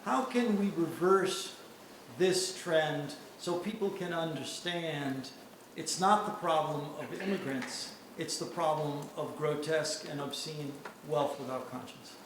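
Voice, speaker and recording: A man's voice: a little high, unhurried. A man in the audience earnestly asking an impassioned question at a lecture. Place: lecture hall. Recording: very echoey hall with clear noise.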